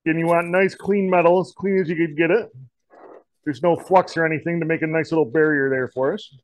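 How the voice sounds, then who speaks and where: A man in his forties, a shop teacher, talking through a torch welding demonstration in a relaxed, folksy way in an indoor metal shop. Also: fairly high pitch, fairly quick, no echo, low-quality recording. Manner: relaxed, folksy, many "kinda" and "you know" fillers. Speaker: diesel technology teacher.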